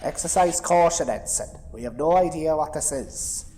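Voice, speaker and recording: A man's voice: on the high side, normal speed, mellow, a little hiss or hum, close to an everyday voice, low-quality recording. A man playing a strict, cautious starship chief in a sci-fi audio drama, curt and precise in speech.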